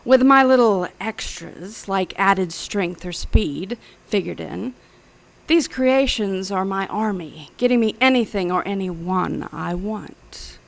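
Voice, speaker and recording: A woman's voice: mid-range voice, expressive, slow, creaky. An actress playing a smug, menacing female mad scientist in an audio drama thriller, speaking precisely and theatrically. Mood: gloating menace.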